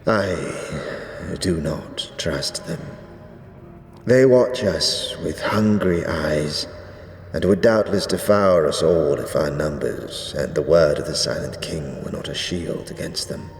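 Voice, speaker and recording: A man playing an ancient, zealous skeleton high priest in a fantasy audio drama, speaking gravely in archaic, ceremonious phrases. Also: faint music behind, full character voice. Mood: distrustful. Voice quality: deep, drawn-out delivery. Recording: echoey reverberant hall, faint noise.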